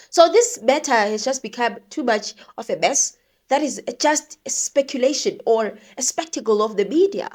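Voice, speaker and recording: A woman with a fairly high voice and a South African accent talks through the news as a commentator. She is opinionated and conversational, often thinking aloud with questions. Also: darkish tone. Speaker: commentator, show host.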